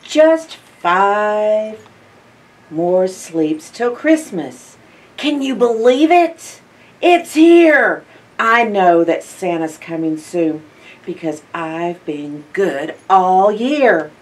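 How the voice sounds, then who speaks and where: An older woman reading a picture book aloud to young children in an expressive, drawn-out storytime manner. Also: medium pitch, far from the mic. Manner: expressive, drawn-out phrases with hushed asides and questions to listeners. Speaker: library storytime reader. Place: indoor library in front of bookshelves.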